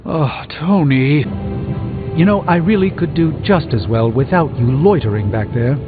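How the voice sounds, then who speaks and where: A proud sorcerer scholar from a video game, formal and drily disdainful. The voice rings in long reverb, with a thin muffled sound and clear music underneath. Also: male voice, fairly high pitch, expressive, measured pace. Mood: dry, unamused. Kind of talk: video game dialogue acting.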